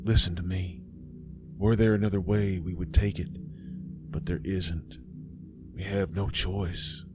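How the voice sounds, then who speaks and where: A man playing a protective, anguished farmer father in a horror story, forceful and strained. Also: low voice, steady tone, unhurried, pauses often, music in the background. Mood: grave pleading.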